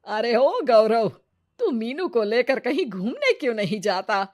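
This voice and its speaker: A woman's voice: on the high side, very animated, unhurried, a little mellow. A woman playing a bossy, loving old grandmother in a family story, scolding in a playful, folksy way. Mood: nagging affectionately.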